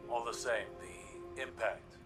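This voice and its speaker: A grave, thoughtful male scholar from a sci-fi video game, played by an actor, speaks formally and earnestly in a mid-pitched, measured voice. Prominent music plays underneath. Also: lightly acted. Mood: reflective, gentle. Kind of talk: scripted video game dialogue.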